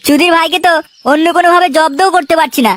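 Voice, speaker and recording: Woman voicing a very high-pitched cheeky cartoon boy. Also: steady tone, racing. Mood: frustrated. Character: cheeky, scheming, foul-mouthed young boy. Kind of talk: comedy cartoon dialogue. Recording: loud music bed with bird chirps.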